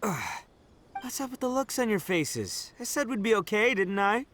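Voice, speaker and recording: An actor playing a brash, boastful young male oni gang leader in a video game, loud and big-hearted, often laughing. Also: sits high, animated, normal speed, a little hiss or hum. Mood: wry. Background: game music.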